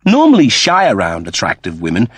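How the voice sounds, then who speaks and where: A man's voice: fairly low pitch, huge pitch swings, fairly quick, fairly bright tone, husky, dry recording. A man narrating scripted science fiction as a dramatic audiobook reader.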